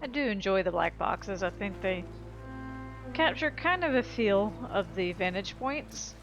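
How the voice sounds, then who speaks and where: A woman's voice: mid-range voice, expressive, unhurried, highs cut off, over music. Upbeat woman chatting over a video game. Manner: upbeat, thinking aloud. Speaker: streamer playing and narrating a video game. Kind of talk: casual gameplay chat.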